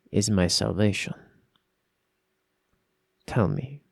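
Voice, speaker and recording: A man putting on a hushed French-tinged necromancer. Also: fairly low pitch, vocal fry, dry recording, studio quality. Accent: put-on subtle French. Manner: hushed, slow, solemn delivery. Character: brooding necromancer of a cursed bloodline. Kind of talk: dramatic reading of a first-person lore piece.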